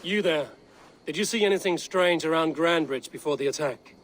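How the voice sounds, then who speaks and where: A man playing a terse, steady Viking warrior in a video game. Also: fairly high pitch, moderate pace, faint music behind, natural voice, rough recording. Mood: questioning. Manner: terse, direct. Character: steady, blunt male Viking warrior investigating a betrayal. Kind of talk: game voice acting.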